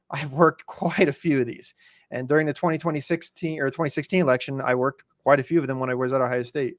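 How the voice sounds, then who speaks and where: A man's voice: mid-range voice, no echo, studio quality. An American man giving conversational news commentary as a podcast host, explaining things methodically as he goes. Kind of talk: conversational news commentary, reading and dissecting an article.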